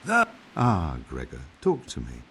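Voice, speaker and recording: An actor playing a grumbling, opinionated older monk in a medieval video game, blunt and theatrical. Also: male voice, deep voice, huge pitch swings, a little slow, gravelly, fairly bright tone, slight background noise, exaggerated voice. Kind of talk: video game cutscene acting.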